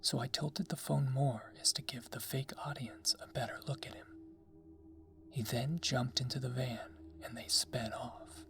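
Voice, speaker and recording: A narrator reading a scary story in a hushed ASMR whisper, slow and deliberate. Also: medium pitch, steady tone, vocal fry. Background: background music.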